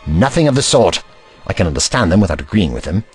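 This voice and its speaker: A man acts out a learned, eccentric time traveller in a sci-fi audio drama. He lectures in precise, old-fashioned words, protective and easily indignant, with pitch that swoops dramatically. Music plays along. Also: sing-song, fast, breathy edge, heavily acted. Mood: lecturing. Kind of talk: science fiction audio drama acting.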